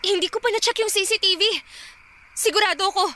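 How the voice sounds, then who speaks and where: A woman's voice: very high-pitched, quick pace, pushed and bright. An actress playing an anxious young woman being stalked, in an emotional Filipino radio drama style. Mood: uneasy, apologetic.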